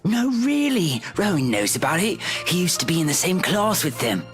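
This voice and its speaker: A man voicing an excitable schoolkid who swaps scary rumours in a video game, insistent and slangy. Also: fairly high pitch, moderate pace, soft background music. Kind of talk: animated game dialogue.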